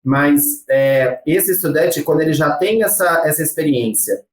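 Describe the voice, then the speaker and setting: A Brazilian man with a Brazilian Portuguese accent, a study-abroad agency representative explaining things in an interview, measured and pausing often on fillers. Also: fairly high pitch, echoey room. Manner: measured, with frequent hesitation fillers.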